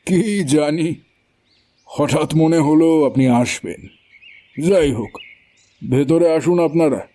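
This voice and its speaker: Man playing grave humble tantric, middle-aged, slow speech, soft dark timbre, ominous horror radio play, music bed. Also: a little high. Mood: calm, welcoming. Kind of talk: Bengali audio horror drama acting. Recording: background music under the voice.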